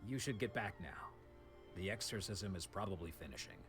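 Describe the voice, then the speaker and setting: An actor playing a solemn swordsman and former disciple in a game, recounting the past in a measured, formal way. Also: low voice, animated, over loud music. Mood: solemn, regretful. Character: grave male swordsman, former disciple, wounded pride, reflective. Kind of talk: dramatic game dialogue storytelling.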